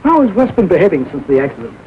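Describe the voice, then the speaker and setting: High-voiced kindly country doctor. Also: male voice, huge pitch swings. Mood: kindly, thoughtful. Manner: measured, well-spoken. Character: kindly, sensible small-town doctor. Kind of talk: 1940s film drama acting. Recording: dull, noisy old film soundtrack.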